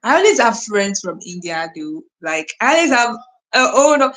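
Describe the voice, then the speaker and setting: A woman's voice: mid-range voice, very animated, moderate pace, a bit bright, poor audio. A young Nigerian woman with a Nigerian accent hosting a casual livestream, chatting to viewers with lots of fillers, restarts and repeated phrases. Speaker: livestream host. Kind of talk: casual live stream chat reading viewer comments.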